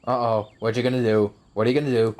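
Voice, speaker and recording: A man's voice: mid-range voice, flat delivery, fast talker, a little mellow, a little hiss or hum. A young man giving casual, slangy, reactive commentary on a video game he is playing. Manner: laid-back, reactive, slangy. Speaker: gaming video host. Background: game music.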